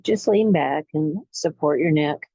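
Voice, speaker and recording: A woman leading a guided relaxation meditation in a soothing, unhurried way, pausing between short phrases. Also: low-pitched, mellow, husky, no echo, muffled recording. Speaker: guided imagery facilitator.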